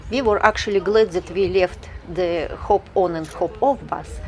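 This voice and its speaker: A woman vlogger narrates a casual walking tour outdoors, thinking aloud with hesitant pauses. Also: on the low side, darkish tone. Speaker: travel vlogger. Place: outdoors on a city square.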